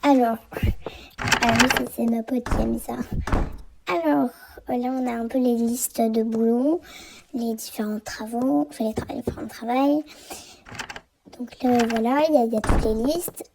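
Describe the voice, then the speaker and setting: A young French woman, hoarse and often half-whispering, giving a rambling, hesitant tour of a block-building game server. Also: fairly high pitch, steady tone, normal speed, pauses often, very noisy. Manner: rambling, hesitant, many fillers and restarts. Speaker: game channel host. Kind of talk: casual game tour commentary.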